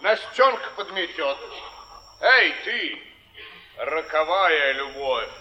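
Idle down-and-out nobleman, teasing, slow, stage drama, echoey dull old broadcast. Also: fairly high pitch, expressive, sharp tone, faint music behind, exaggerated voice. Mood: careless, mocking. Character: idle, mocking down-and-out baron in a flophouse. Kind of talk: theatrical stage acting. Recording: muffled echoey old theatre broadcast recording.

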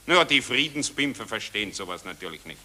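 An actor playing a smug, cynical Austrian army officer with a Viennese accent, drawling flippant remarks in a 1940s German radio play. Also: male voice, on the low side, sing-song, quick pace, sharp tone, heavily acted. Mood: gossipy.

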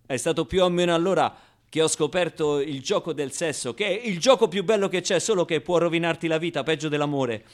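Male stage storyteller, fairly high voice, acting out a colloquial, expressive monologue. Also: brisk. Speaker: actor and storyteller. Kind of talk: theatrical first-person monologue.

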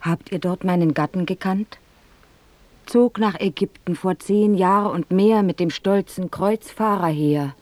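Casting: woman as humble beggar wife, low plaintive voice, slow earnest verse, dry radio drama sound. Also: darkish tone, no room sound, close to an everyday voice. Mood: pleading. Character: humble, steadfast beggar woman awaiting husband. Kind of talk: theatrical verse radio play.

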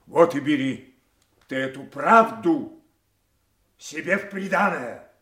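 An actor playing a proud, imperious old king in a solemn, declamatory classical audio drama, quick to rage. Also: a little high, lively intonation, fairly bright tone, echoey room. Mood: furious.

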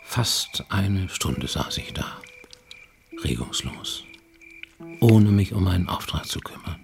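An actor playing a haunted man who recounts childhood guilt in a halting, brooding radio-play monologue, at times sinking toward a whisper. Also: deep voice, animated, creaky, over music, heavily acted. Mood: brooding, subdued. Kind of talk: German radio play, first-person confessional monologue.

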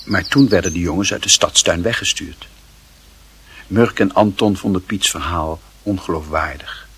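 A man with a husky voice reading scripted literary narration for a radio play. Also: low voice, moderate pace, fairly bright tone, slight background noise.

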